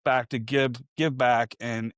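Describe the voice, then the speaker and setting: A man's voice: medium pitch, steady tone, slow pace, a bit bright, clean tone, no room sound, studio quality. A man hosting a podcast, musing reflectively at length before asking his questions. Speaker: podcast host. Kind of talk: conversational interview.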